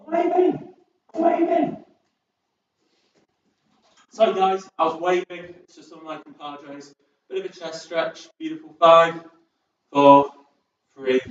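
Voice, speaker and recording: A man's voice: a little high, slight background noise. A man from Southport with a northern English accent, leading a chair-based exercise class in a chatty, upbeat way and counting down each set. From England, born in Birmingham, raised in Southport. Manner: chatty, upbeat, rambles between cues, counts down reps. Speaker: fitness instructor. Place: large echoey room at a community sports centre. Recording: very echoey, distant and dull.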